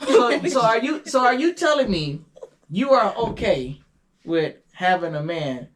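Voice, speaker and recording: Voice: mid-range voice, very animated, a little slow. A talk show host aged fifty, questioning a guest conversationally and pressing each question again and again. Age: 50s. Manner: persistent, repeats and presses questions.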